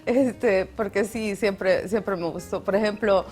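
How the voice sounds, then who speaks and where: A woman's voice: mid-range voice, slow, a little mellow, soft background music. Chatty Salvadoran woman guest. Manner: chatty, laughs often, restarts words. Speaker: guest, mountaineer. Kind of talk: casual interview chat.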